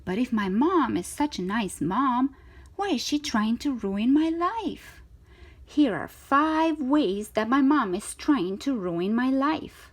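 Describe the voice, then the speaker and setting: A woman reads a picture book aloud for children. Her voice sits on the high side and moves up and down with lots of expression. She is theatrical in delivery, leaning on key words and drawing them out. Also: animated, takes it slowly. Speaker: storytime reader of children's books.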